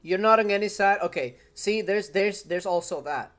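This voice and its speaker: A man's voice: high voice, normal speed, a bit bright. A young man with a Spanish accent, his first language Spanish, chatting casually with viewers during a live game stream and often repeating phrases. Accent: Spanish-speaking. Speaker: gaming streamer. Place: home room at a desk.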